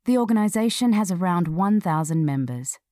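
A woman narrating a scripted feature article in a measured, clearly read delivery. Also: lowish voice, expressive, mellow, no room sound, studio quality.

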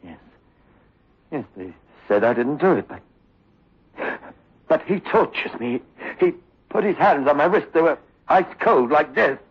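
A man's voice: a little high, expressive, unhurried, pauses often, breathy edge, heavily acted. A man playing a frightened, confused young psychiatric patient in a 1960s radio drama, stammering and pleading with childlike desperation. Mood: broken, subdued. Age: young adult. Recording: muffled old radio drama recording.

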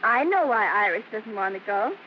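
A woman's voice: medium pitch, animated, normal speed, close to an everyday voice. An American actress playing a cheeky, tattling little girl in a 1950s radio drama. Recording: dull, hissy old radio recording.

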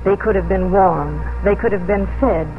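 An actress playing a proud, earnest colonial mistress with wavering loyalties, in 1950s radio drama style. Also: female voice, medium pitch, normal speed, natural voice. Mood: grave, reflective. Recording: dull vintage 1950s radio broadcast, orchestral music.

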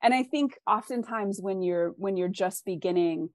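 A woman's voice: medium pitch, normal speed, a little mellow, no echo, clean studio sound. A woman speaking conversationally as an interview guest, explaining writing craft articulately with examples. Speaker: interview guest, fiction author.

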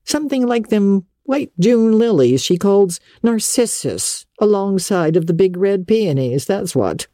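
A woman's voice: medium pitch, animated, measured pace, hardly any pauses, mellow, no echo, clean studio sound, voice pushed up, natural voice. A woman voicing a blunt, opinionated older country woman with folksy, emphatic speech in a storybook reading.

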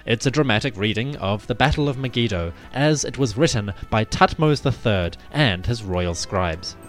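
A man hosting a history podcast, reading scripted narration in a measured way with theatrical emphasis. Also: on the low side, lively intonation, soft background music.

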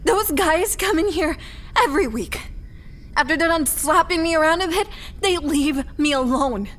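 A woman's voice: sits high, expressive, moderate pace, fairly bright tone. An actress playing an exasperated, battered woman in an audio drama. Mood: exasperated, upset. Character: exasperated, battered woman rejecting her would-be rescuer. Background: music.

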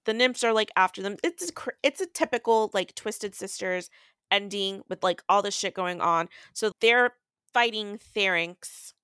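A woman's voice: mid-range voice, moderate pace, bright, forceful tone, clear, resonant tone, no echo, studio quality. A woman co-hosting a podcast, casually recapping a book in a rambling, slangy way. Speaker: podcast co-host.

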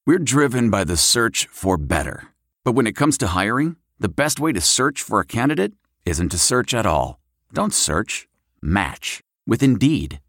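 Male commercial announcer, lowish lively voice, scripted read, dry studio-style sound. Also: measured pace, no echo. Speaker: advertisement announcer.

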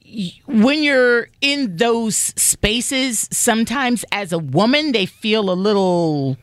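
Lively bright-voiced woman co-host. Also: a little high, takes it slowly, dry recording. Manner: animated, laughing, emphatic. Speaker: podcast co-host. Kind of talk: casual chat.

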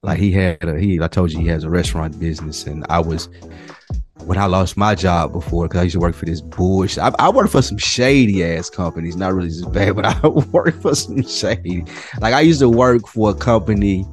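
Deep-voiced American man, casual and sweary. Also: fairly quick, soft background music. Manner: slangy, sweary, teasing. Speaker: podcast co-host. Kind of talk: casual chat, asking questions.

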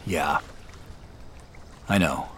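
An actor playing a thoughtful, wry and slightly weary business leader in a naturalistic audio drama, speaking in a low-key, halting way. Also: male voice, bright, forceful tone, a little hiss or hum, heavily acted. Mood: reluctant.

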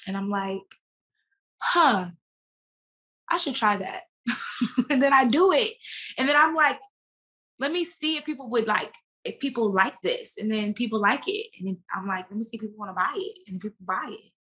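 A woman guest talking casually and informally in an interview, slangy and animated as she tells stories and quotes herself. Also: on the high side, moderate pace, long pauses. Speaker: podcast guest, actress and business owner.

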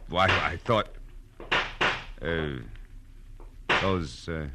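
An actor playing a wry, tough-talking American private detective in a 1940s radio drama, terse and sardonic. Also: male voice, low voice, drawn-out words, heavy background noise. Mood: wry, probing. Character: hard-boiled, wry male private detective. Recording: vintage radio recording with music.

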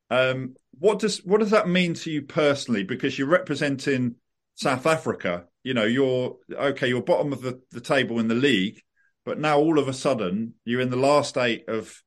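Man, podcast host, mid-range voice, long layered questions. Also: medium pitch. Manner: asks long, layered questions, repeating words as he builds them. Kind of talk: interview questions.

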